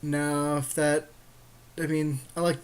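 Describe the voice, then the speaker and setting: A man's voice: fairly high pitch, little pitch movement, slow, clear, resonant tone. A man co-hosting a podcast, bantering casually in slangy, joking talk during a card game draft. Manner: slangy, jokey. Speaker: podcast co-host.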